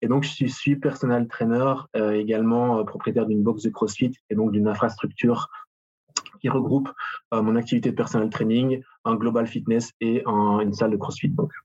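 A man's voice: medium pitch, fairly even intonation, normal speed, darkish tone, breathy edge, highs cut off. A man in his late thirties with a Belgian French accent, a gym owner answering interview questions in a hesitant, filler-heavy way. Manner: hesitant, many fillers and restarts. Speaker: guest, personal trainer and gym owner.

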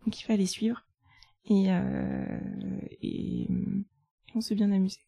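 30s french woman guest, chill and chatty, dark mellow voice, dry sound. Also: medium pitch, takes it slowly, vocal fry, no room sound. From France. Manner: relaxed, chatty, with fillers. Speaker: guest, chartered accountant. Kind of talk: casual interview.

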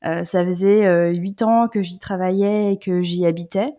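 A woman's voice: fairly low pitch, fairly even intonation, moderate pace, mellow. Hesitant, reflective woman in her thirties. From Rhône-Alpes, France. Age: 30s. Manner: reflective, many hesitant "euh" fillers. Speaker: guest. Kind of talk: personal story in an interview.

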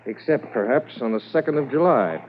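An inquisitive, deferential junior police officer, played by a male actor in a 1950s radio drama. He talks in a thoughtful, easygoing way at a medium pitch. The sound is muffled, with light hiss. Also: animated, brisk, lightly acted. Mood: wry, curious.

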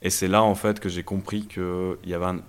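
A young man from Belgium, a startup founder telling his story in a casual interview, relaxed and hesitant with many fillers. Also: low-pitched, brisk, clean tone. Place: startup incubator office.

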